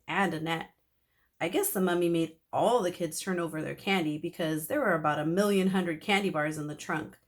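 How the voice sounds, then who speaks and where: A woman teacher reading a children's chapter book aloud in an expressive, animated storytelling manner. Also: lowish voice.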